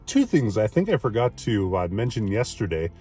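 A man's voice: lowish voice, very animated, moderate pace, dark tone, slightly rough, faint music behind. Chatty man vlogging from his car. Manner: chatty, enthusiastic, many fillers. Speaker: EV road trip vlogger. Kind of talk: casual vlog talk to camera. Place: inside a parked car. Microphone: phone camera at arm's length.

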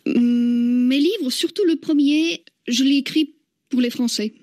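A woman from Slovakia, speaking French as a second language with a Slovak accent, answering a radio interview thoughtfully, with many restarts and soft laughs. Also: high-pitched, measured pace. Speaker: interviewed novelist.

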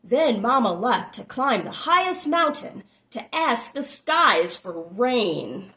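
A woman reading a children's picture book aloud with expressive, drawn-out phrasing and little asides to listeners. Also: fairly high pitch. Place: small room at home, indoors.

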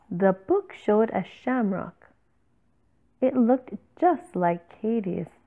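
A woman reading a picture book aloud to children, with slow, deliberate and expressive phrasing. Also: medium pitch. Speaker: children's story reader. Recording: tinny, phone-like narrow-band audio.

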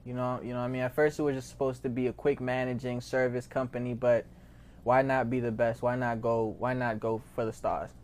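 A man's voice: medium pitch, level tone, fairly quick, a little mellow. A nineteen-year-old man from Haiti with an American accent, talking casually and slangily as an interview guest, often repeating phrases. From born in Haiti, raised in Maryland from age seven. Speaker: interview guest, record label founder.

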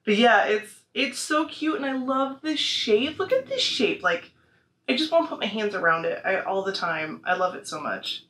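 A young American woman chatting casually and excitably to camera as a vlogger showing off her purchases. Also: mid-range voice, normal speed. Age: young adult. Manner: excitable, gushing. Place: small living room, on a couch. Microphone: camera mic a few feet away. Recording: roomy echo, noisy camera audio.

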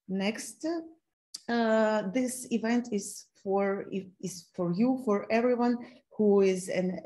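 A woman in her thirties with a Ukrainian accent hosts an online workshop from her laptop on a video call. Her voice is mellow and warm, and she speaks haltingly, with frequent fillers and restarts. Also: mid-range voice. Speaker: host of an online learning event. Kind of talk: hosting a video call presentation. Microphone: laptop mic on a video call.

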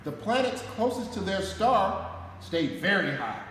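A man in his forties with an American accent reads a children's story aloud in a deliberate, dramatic way, with emphatic phrasing. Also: high-pitched, sing-song, fairly bright tone. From Detroit, United States. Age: 40s. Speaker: firefighter reading a picture book aloud. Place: concrete-floored fire station garage, indoors. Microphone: camera a short distance away. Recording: echoey hall, noticeable background noise.

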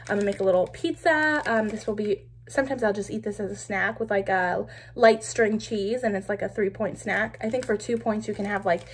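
A young woman with a fairly high voice chats about her groceries in an upbeat, casual way, full of "um" and "like." She talks to a camera on her kitchen counter, with a faint hiss under the sound. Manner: chatty, upbeat, frequent "um" and "like". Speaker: lifestyle vlogger. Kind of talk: casual grocery haul chat. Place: home kitchen, indoors. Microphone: camera on counter, medium distance.

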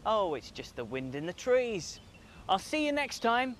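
A man's voice: high voice, sing-song, a little slow, background noise. A man voicing a friendly monkey puppet on a children's show, cheerful and sing-song. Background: music.

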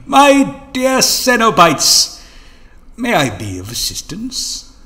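A man's voice: high-pitched, wildly swooping pitch, slow pace, pushed and bright, exaggerated voice. A man playing a mocking, theatrical villain schemer in an audio drama, silky and taunting in his florid delivery. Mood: persuasive, grandiose.

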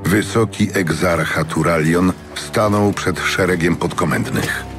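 A man narrating a dramatic fantasy audiobook from a script in a measured, solemn manner. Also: deep voice, over music.

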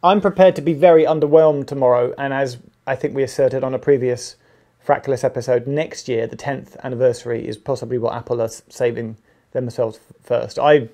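A man's voice: mid-range voice, steady tone, a little fast, dark tone. A man in his thirties chatting casually about tech on a podcast from a room at home. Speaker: podcast panellist. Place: small home room, video call.

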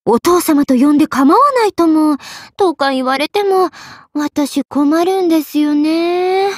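A woman's voice: sits high, measured pace, no room sound, clean studio sound. An actress voicing a playful, money-minded half-spirit young queen in a Japanese mobile game, coy and polite with a regal side. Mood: troubled, polite. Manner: coy, polite, sweetly drawling, sometimes solemn. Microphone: close studio microphone.

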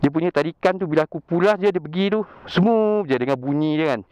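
A man's voice: fairly high pitch, quick pace, darkish tone, clean tone, no room sound, highs cut off. A young man with a Malaysian Malay accent, chatting enthusiastically about motorbikes in a casual vlog, laughing often. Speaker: motovlogger. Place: outdoors in a leafy park road, beside motorbikes. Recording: outdoor wind and engine noise.

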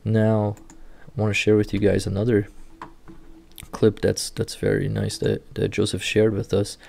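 Gravelly low-voiced man explaining rehab clips. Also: unhurried. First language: Portuguese. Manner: steady, instructive walk-through of clips. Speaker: sports rehab clinician. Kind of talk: explanatory video commentary. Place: indoor room.

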